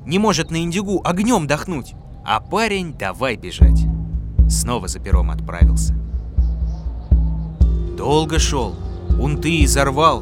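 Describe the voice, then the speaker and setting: A man narrating a folk fairy tale with a measured, expressive reading. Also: medium pitch. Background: music.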